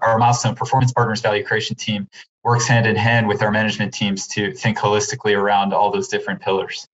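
A man giving business answers as an interview guest, repeating words as he goes in a hesitant way, on a dull lo-fi recording. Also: on the low side. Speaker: investment firm partner, podcast guest.

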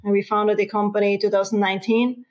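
A woman with an Austrian accent, a company co-founder telling her story in a relaxed interview. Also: mid-range voice, fast talker, rough recording. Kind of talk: casual interview talk.

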